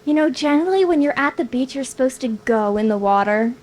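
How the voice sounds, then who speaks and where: A woman's voice: high voice, lively intonation, a little fast, a little mellow. A woman acting a playful, tender young woman in a live screenplay table read, with some noise on the recording. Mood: teasing.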